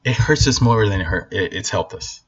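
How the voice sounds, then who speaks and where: Husky-voiced man on an impassioned rant. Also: on the low side, brisk, fairly bright tone, dull audio. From Northern Nigeria. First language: Hausa. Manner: blunt, impassioned, repeats for emphasis. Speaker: vlogger. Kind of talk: casual opinion rant to camera.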